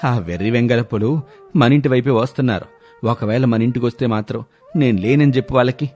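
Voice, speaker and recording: Man playing a middle-aged village elder, exasperated and irritable; mid-pitched, grumbling. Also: a little fast, a little mellow, soft background music, lightly acted. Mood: flustered.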